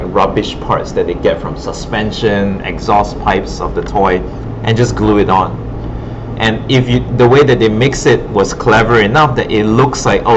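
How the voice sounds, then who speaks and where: A man teaching informally as an instructor, thinking aloud in a hesitant way, recorded in a classroom. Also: on the low side. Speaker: digital art instructor. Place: indoor classroom. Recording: muffled, noisy classroom recording with air-conditioning hum.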